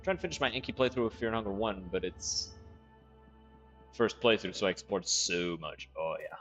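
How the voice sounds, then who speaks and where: A man's voice: fairly low pitch, expressive, a little fast, dull audio, faint music behind. A man from Portugal with a South African-tinged accent, streaming a game and chatting casually, with profane outbursts. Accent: South African-tinged English. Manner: casual and profane, sudden loud yelps of surprise. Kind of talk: live gameplay commentary, reading chat.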